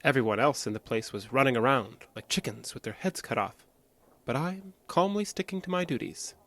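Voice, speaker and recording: A male actor as a cold man with violence kept under a calm surface. He speaks at an average male pitch, precise and evasive, with little feeling. The style is audio drama. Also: brisk, close to an everyday voice. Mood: insistent calm.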